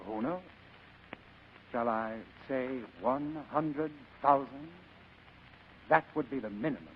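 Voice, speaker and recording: An actor playing a genteel, sly older crime boss in a 1940s radio drama, with ornate, leisurely, courtly speech. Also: male voice, mid-range voice, slow pace, a little hiss or hum, close to an everyday voice. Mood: genial bargaining. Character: genteel, sly criminal mastermind, a fat older man. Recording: muffled vintage radio broadcast, rough sound.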